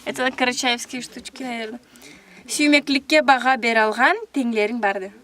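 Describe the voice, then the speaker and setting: A woman's voice: fairly high pitch, steady tone, moderate pace, pushed and bright. A woman voice actress rehearsing scripted cartoon lines, repeating them carefully and expressively. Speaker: voice actress in a dubbing session.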